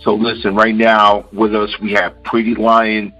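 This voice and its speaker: Deep-voiced laid-back radio host. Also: male voice, little pitch movement, a little slow, sharp tone, muffled recording, soft background music. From Queens, New York. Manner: casual, repeats phrases and says "you know" often. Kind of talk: radio interview.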